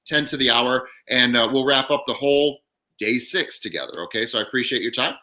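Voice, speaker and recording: Bright-voiced casual male host. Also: medium pitch, fairly quick. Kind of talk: live online show hosting.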